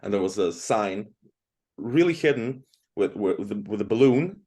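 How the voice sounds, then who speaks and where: A man's voice: fairly low pitch, animated, unhurried, mellow. A man from Croatia in his thirties, a guest in an interview, talking casually and at length with many fillers. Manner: chatty, rambling, frequent fillers.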